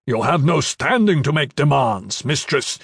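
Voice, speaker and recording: A man's voice: medium pitch, animated, measured pace, vocal fry, slightly rough, dry recording, clean studio sound, heavily acted. A man playing a curt, impatient commander. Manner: curt, demanding. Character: gruff blunt military commander, impatient man.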